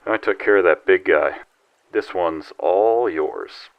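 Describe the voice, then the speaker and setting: An actor playing a cool, loyal psionic agent in a sci-fi audio drama, speaking in a measured, dutiful manner. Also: male voice, sits low, dry recording, highs cut off. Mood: cool, menacing.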